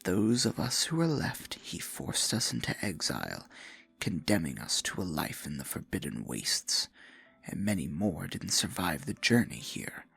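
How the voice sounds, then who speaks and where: A man playing a possessive, theatrical dark sorcerer in a fantasy ASMR roleplay, murmuring with silky menace and mock-sweet endearments in a husky, gravelly voice. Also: sits low, huge pitch swings, measured pace, faint music behind, heavily acted. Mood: bitter, wounded.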